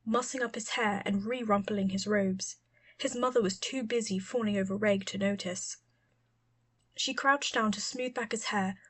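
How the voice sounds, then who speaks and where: A woman's voice: mid-range voice, slightly rough. A woman reading a scripted story as an audiobook narrator.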